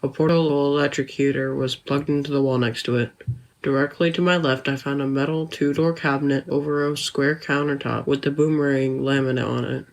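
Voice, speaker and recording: A man's voice: medium pitch, fairly even intonation, fairly quick, vocal fry, lightly acted, low-quality recording. An earnest boy storyteller, played by a man, telling his adventure for an audio story podcast. He reads descriptively in a mid-range voice and is easily irked. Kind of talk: scripted first-person storytelling for a family podcast.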